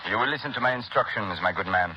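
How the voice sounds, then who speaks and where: An actor playing a cold, embittered mad scientist with pompous, precise menace, in 1930s radio style. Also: male voice, fairly low pitch, fast, natural voice. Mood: bitter, menacing. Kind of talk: 1930s radio villain acting. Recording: muffled, noisy 1930s radio broadcast.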